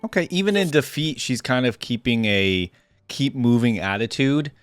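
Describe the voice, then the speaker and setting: A man's voice: mid-range voice, lively intonation, moderate pace, no room sound, soft background music. A man hosting a reaction video, giving casual commentary.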